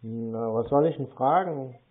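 A man's voice: medium pitch, measured pace. Laconic male customer, casual phone talk, narrow telephone sound. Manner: laconic, noncommittal short replies. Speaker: prank caller posing as interested customer. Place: phone call. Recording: tinny, band-limited phone line.

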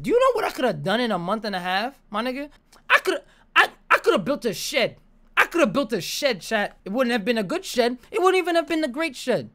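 Young American man, wildly expressive streamer storytime. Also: high-pitched. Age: young adult. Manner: slangy, profane, exasperated repetition of phrases. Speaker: streamer telling a story to live chat. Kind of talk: casual animated storytime. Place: bedroom or basement room, indoors. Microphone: desk microphone close to the mouth, with headset.

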